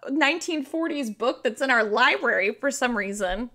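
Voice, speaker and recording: A woman's voice: high-pitched, normal speed. An American woman chatting informally as a podcast guest, telling stories and explaining things in a loose conversational way. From rural Missouri, United States. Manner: informal, animated, frequent "like", sweary. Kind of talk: casual conversational storytelling and explaining.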